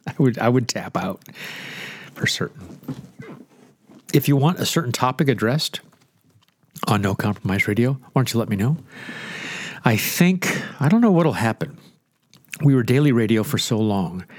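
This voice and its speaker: A man's voice: lowish voice, expressive, normal speed, pauses often, dry recording. A congested, stuffy-nosed man hosting a radio show as a pastor, rambling and joking at his own expense. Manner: rambling, joking, self-deprecating. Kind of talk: casual off-the-cuff radio chat.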